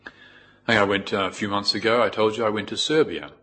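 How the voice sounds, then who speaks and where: Low-pitched New Zealand man, reflective. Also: fairly even intonation, sharp tone. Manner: reflective, measured with pauses. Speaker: Buddhist monk, teacher. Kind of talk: informal spoken dharma talk with personal anecdotes.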